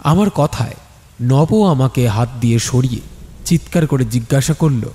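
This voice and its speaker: Male storyteller, medium pitch, dark relaxed tone, dramatic scripted narration with a long reverberant tail. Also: normal speed. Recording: long studio reverb, music behind. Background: background music.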